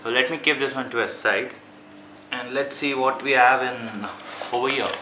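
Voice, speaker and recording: A man's voice: mid-range voice, fairly even intonation, moderate pace. A man with an Indian accent giving casual, informal unboxing commentary to viewers, often repeating himself and calling them guys. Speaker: vlogger reviewing gadgets. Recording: echoey room with faint background noise.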